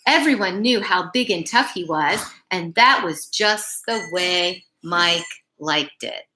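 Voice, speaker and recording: A woman reading a picture book aloud to children as a bedtime story, phrasing it deliberately and emphatically with little asides to her young listeners. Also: fairly low pitch, expressive, background noise.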